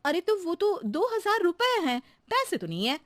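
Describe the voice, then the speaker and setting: A woman's voice: extremely high pitch, big pitch swings, moderate pace, fairly bright tone, no echo, clean studio sound, voice pushed up, full character voice. A woman voicing a practical housewife in a comedy cartoon, bantering expressively with those around her. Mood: teasing. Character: practical housewife, amused yet easily irked employer. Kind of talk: Hindi cartoon comedy acting.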